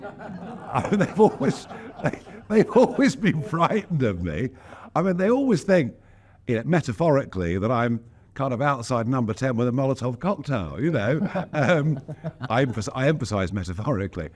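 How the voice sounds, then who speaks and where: A man's voice: medium pitch, big pitch swings, normal speed, a little mellow, breathy edge. A man being interviewed as a politician, speaking in an emphatic, outspoken way with wry asides. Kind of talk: casual interview talk.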